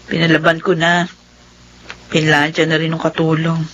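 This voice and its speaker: A woman's voice: deep voice, monotone, normal speed, a bit bright, lo-fi sound. A woman playing an exasperated, worried middle-aged mother with a Filipino accent in a melodrama. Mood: subdued, troubled. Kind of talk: melodramatic Filipino drama acting.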